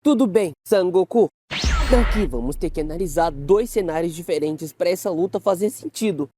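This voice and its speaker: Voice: mid-range voice, lively intonation, moderate pace, noisy background, lightly acted. An actor voicing an earnest, nerdy teenage boy anime hero in an analytical parody debate. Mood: earnest analysis. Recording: music behind the voice.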